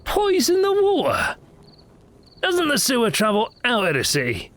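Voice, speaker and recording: A man's voice: high voice, wildly swooping pitch, moderate pace, pushed and bright, heavily acted. A man voicing a drowsy, dim, easygoing sewer guard in a fantasy audio drama, with a sleepy, swooping manner. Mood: dim wonder.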